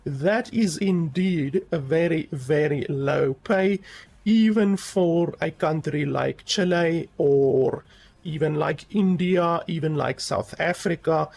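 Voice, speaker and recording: A man's voice: a little high, takes it slowly, gravelly, darkish tone. A man giving a rambling, casual game review, with many fillers and emphatic repeats.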